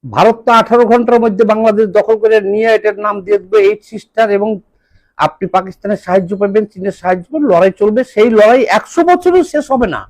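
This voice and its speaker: A man's voice: high-pitched, quick pace, a little mellow, no room sound, rough recording. A man with a Bangladeshi accent delivering an impassioned political monologue, emphatic and scolding, throwing out rhetorical questions. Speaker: political commentator.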